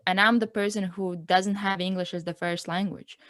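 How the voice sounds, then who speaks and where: A woman in her twenties from Latvia speaks English with a noticeable Latvian accent, her second language. She recounts her own experiences as an interview guest, reflective and sincere, with frequent "like" and "um". Her voice sits at an ordinary middle pitch, recorded close and dry with no room sound. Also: steady tone, normal speed, fairly bright tone, no echo. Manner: reflective, earnest, peppers speech with "like" and "um". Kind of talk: personal story in a casual interview.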